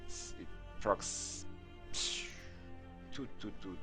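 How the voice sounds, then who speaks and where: A man's voice: very slow, over music. A man from Croatia with a Croatian accent, English not his first language, talking through a game tutorial with many fillers and restarts. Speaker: gaming tutorial creator.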